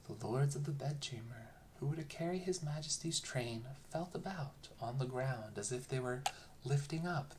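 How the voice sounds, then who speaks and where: A storyteller narrating a bedtime fairy tale in a calm, soothing, lilting manner. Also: medium pitch, noisy background.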